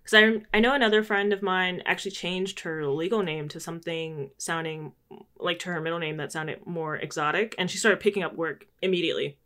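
Low-voiced young woman hosting a chat. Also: steady tone, brisk, a bit bright. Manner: thoughtful, rambling, laughs easily. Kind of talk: casual podcast chat.

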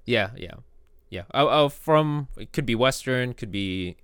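A man hosting a podcast, talking casually in a halting way with many restarts. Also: mid-range voice, expressive, fairly bright tone, clean tone, no room sound, studio quality. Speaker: podcast host. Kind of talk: casual chat.

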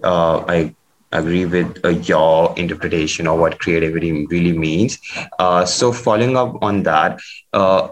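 Deep-voiced man hosting a podcast. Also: fairly even intonation, moderate pace, slight background noise, rough recording. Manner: hesitant, many "uh" fillers when asking questions. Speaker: podcast host. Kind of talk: scripted intro then interview questions.

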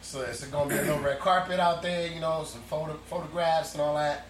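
A man who acts in films, chatting as a podcast guest, telling animated stories, quoting others and laughing often. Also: a little high, fast talker, slight background noise. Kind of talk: casual chat.

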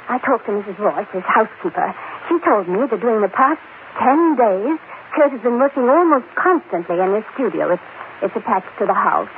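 A woman's voice: medium pitch, animated, normal speed, close to an everyday voice. A woman playing an anxious, refined woman in an old radio drama, speaking earnestly and politely with some hesitation. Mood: worried. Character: anxious, refined woman worried about her missing cousin. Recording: noisy, narrow-band old radio broadcast.

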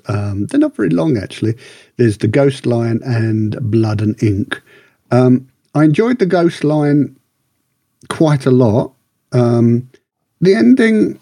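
A man with a relaxed, husky voice chats through a review on a podcast, recorded dry and close. He rambles enthusiastically, often correcting himself as he goes. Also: mid-range voice, no room sound. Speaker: podcast co-host reviewing books and radio dramas. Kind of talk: casual review chat.

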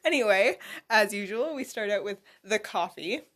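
A woman's voice: medium pitch, lively intonation, normal speed, a bit bright. A woman co-hosting a casual podcast, bubbly and joking, laughing easily as she chats. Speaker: podcast co-host. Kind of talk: casual chat.

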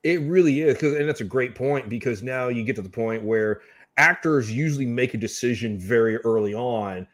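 A man's voice: mid-range voice, normal speed. Guy co-hosting a stream, earnest, lays out his points, asks chat stuff. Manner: earnest, explains points, addresses the audience. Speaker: co-host of horror review show. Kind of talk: casual livestream chat.

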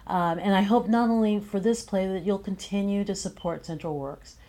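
A woman's voice: medium pitch, steady tone, normal speed, warm tone. A woman speaking casually as a guest playwright in an interview.

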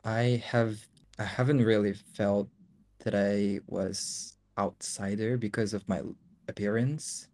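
A man's voice: on the low side, fairly even intonation, slow. A young man and co-host, a native Japanese speaker, talking thoughtfully in English and Japanese in a casual chat. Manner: soft, thoughtful, switches between English and Japanese.

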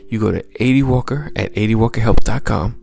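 A man with a very low voice that is heavy with gravel and fry talks as a mindset coach on his podcast. His delivery is smooth and encouraging, built on repeated exhortations. A faint bed of music plays underneath. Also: fast talker, darkish tone. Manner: upbeat, repetitive coaching exhortations. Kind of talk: motivational podcast talk.

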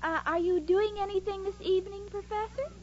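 A woman's voice: extremely high pitch, fairly even intonation, fairly quick, darkish tone. An actress playing a sweet, naive, dim-witted young woman with earnest, guileless delivery in a 1940s radio comedy. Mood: sweetly naive. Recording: muffled noisy old radio recording, studio audience.

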